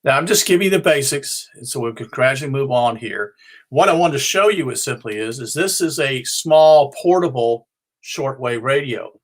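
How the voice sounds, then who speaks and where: Middle aged or older american guy, medium pitch, rambly hobbyist explainer, kinda halting, fixes himself mid sentence. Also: lively intonation. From North Carolina, United States. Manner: halting, rambling, self-correcting. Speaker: ham and shortwave radio hobbyist presenting his show. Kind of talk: informal unscripted explanation.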